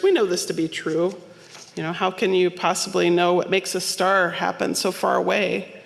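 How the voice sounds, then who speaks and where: A woman's voice: medium pitch, vocal fry. A woman minister giving a sermon, telling stories in a conversational way with small asides. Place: church sanctuary. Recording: long hall reverb.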